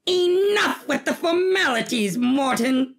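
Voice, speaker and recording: A man putting on a haughty creaky drawling duchess. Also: very high-pitched, expressive, slow, fairly bright tone, voice pushed up, heavily acted. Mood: indignant. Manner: imperious, theatrical, drawling. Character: haughty, angry aristocratic duchess, sharp-tongued wife. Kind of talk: audio fiction character voice.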